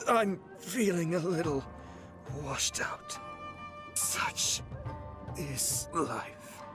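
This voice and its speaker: Casting: suave young nobleman for a video game, unhurried and refined, slyly amused, mid-range male voice, music underneath. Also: on the low side, lively intonation. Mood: weakened, resigned. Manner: polite, measured, refined, quietly amused. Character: suave, composed young lord and clan leader, slyly amused. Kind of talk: video game character voice acting. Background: background music.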